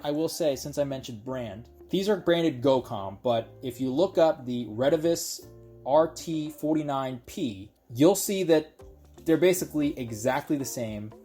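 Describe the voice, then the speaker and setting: A man's voice: mid-range voice, a little mellow. A man giving a casual product review, explaining things conversationally and speaking directly to viewers.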